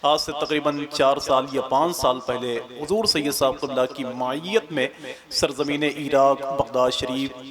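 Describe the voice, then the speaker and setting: A man compèring a religious gathering. He talks to the audience between recited devotional couplets, and he chants each couplet loud, high and drawn out with repetitions. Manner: addresses the crowd directly with coaxing asides. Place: live religious gathering with a crowd.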